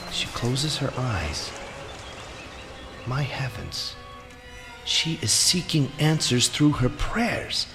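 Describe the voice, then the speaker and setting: An actor playing an exasperated, excitable and dreamy artist in a theatrical, exclamatory stage performance. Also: male voice, mid-range voice. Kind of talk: theatrical live stage monologue. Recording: live theatre recording, clear background noise.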